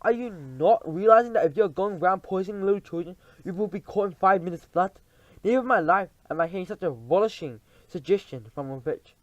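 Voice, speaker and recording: A man's voice: on the high side, sing-song, normal speed, darkish tone, no echo, dull audio, voice pushed up. A man reading aloud and voicing a tiny, tyrannical female witch leader who shrieks orders in stilted, odd grammar. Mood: furious.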